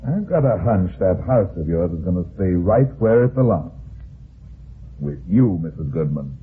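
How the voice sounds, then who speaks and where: Hard-boiled radio detective, animated, muffled recording. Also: male voice, low-pitched. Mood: calm, wry. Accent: American. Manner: hard-boiled, brisk, commanding, wry. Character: tough, quick-witted, heavyset male private detective. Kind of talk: old-time radio detective drama acting.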